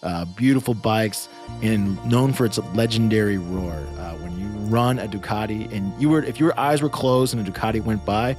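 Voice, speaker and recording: A man's voice: lowish voice, normal speed, over music. A man hosting a podcast interview in a casual, chatty, effusive and informal way. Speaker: podcast host.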